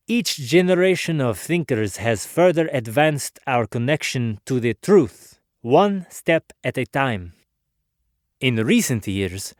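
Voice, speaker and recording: A man playing an earnest, philosophical inventor in a dramatic biography, speaking in a measured, formal, oratorical way. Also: mid-range voice, dry recording, clean studio sound, lightly acted. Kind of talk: dramatic biography narration, formal first-person monologue.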